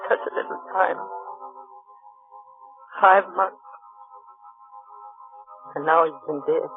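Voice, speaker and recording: A woman with an American accent playing a young, intense, wounded wife in a theatrical, emotional old-time radio drama. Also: deep voice. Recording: tinny old radio recording, faint music.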